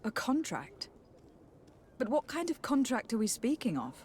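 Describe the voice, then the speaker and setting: A woman's voice: fairly high pitch, sing-song, moderate pace, darkish tone, slight background noise. An actress playing a poised, courteous and resolute young noblewoman envoy in a video game, speaking formally and with composure. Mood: polite, resolute. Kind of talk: video game cutscene dialogue acting.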